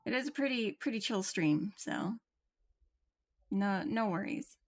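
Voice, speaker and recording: A woman's voice: mid-range voice, expressive, measured pace, faint music behind. A woman livestreaming a video game, chatting casually and reading game lines aloud. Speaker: game streamer.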